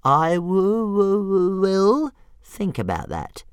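A man's voice: huge pitch swings, slow, clean tone, dry recording, exaggerated voice. A man voicing a small, stubborn young dragon who stutters badly and sulks. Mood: sulky. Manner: stuttering, sulky, squeaky.

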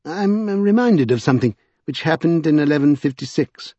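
A man's voice: a little high, lively intonation, normal speed, mellow, dry recording, highs cut off, voice pushed up. A man voicing a bookish, thoughtful magician who lectures precisely in an audiobook. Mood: thoughtful, recounting. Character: pedantic, bookish, thoughtful male scholar magician.

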